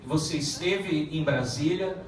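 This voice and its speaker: A man who is a federal deputy giving a halting public speech at a meeting, with many restarts and fillers. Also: on the high side, a little hiss or hum. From Paraná, Brazil. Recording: echoey room from far off.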